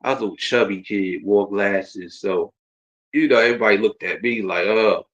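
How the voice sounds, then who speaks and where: Male, circa 40, New Jersey accent, lower register, informal rambling host delivery, slightly dull streamed sound. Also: normal speed, fairly bright tone. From Jersey City, New Jersey. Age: around 40. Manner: informal, rambling, repeats himself. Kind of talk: casual autobiographical livestream chat.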